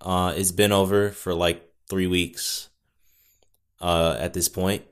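Deep-voiced young man rambling on a podcast. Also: level tone, slow pace, clear, resonant tone. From Northwest Indiana. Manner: rambling, self-correcting, wry asides. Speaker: podcast host. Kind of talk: casual solo podcast chat. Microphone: studio podcast mic.

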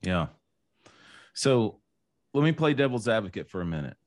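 Man, podcast host, laid-back slangy talk, somewhat low pitch. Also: very animated, moderate pace. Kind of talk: casual interview chat.

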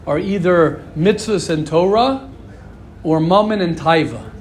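A man's voice: high voice, a little hiss or hum. A married man giving an informal religious talk as a Torah teacher, earnest and rambling, repeating phrases and adding asides. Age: adult, married with kids.

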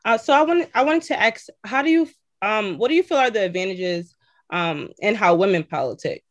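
A woman's voice: medium pitch, expressive, moderate pace. A woman co-hosting a podcast, putting questions to a guest in a straightforward way. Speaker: podcast co-host. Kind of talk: casual podcast interview.

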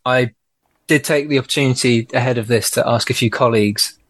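A man's voice: medium pitch, brisk, a bit bright, no echo. A man talks as a guest on a podcast, thinking aloud and circling back on his phrases. His voice sits mid-range and sounds close and dry, with no room around it. He joins over a remote call. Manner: thoughtful, hesitant, with restarts and laughs. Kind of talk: casual podcast conversation.

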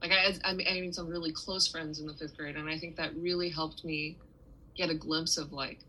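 A young woman from Taiwan speaks in a low yet bright, forward voice. She answers interview questions in a loose, chatty way, restarting her sentences and scattering fillers as she goes. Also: level tone, moderate pace, slight background noise, poor audio. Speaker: musician guest.

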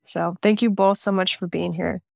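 A woman's voice: on the low side, fairly even intonation, moderate pace, creaky, dry recording, clean studio sound. A woman hosting a podcast, introducing her guests in a friendly, conversational way. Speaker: podcast host.

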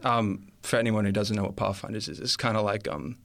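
Low gravelly man, earnest and hesitant. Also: fairly even intonation, a little fast, fairly bright tone. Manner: earnest, hesitant, thinking aloud. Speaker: interview guest. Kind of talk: reflective personal testimony.

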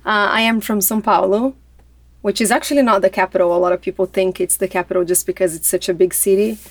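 A woman from Brazil with a Brazilian Portuguese accent, chatting in a relaxed way as a podcast guest. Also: mid-range voice, fast talker, no echo. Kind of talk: interview conversation.

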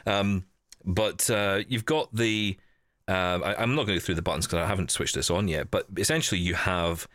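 A man's voice: sits low, moderate pace, a bit bright, no room sound, studio quality. A man hosting a podcast in casual, chatty style, thinking aloud with wry asides. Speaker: podcast host. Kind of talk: casual chat, live unboxing of a gadget.

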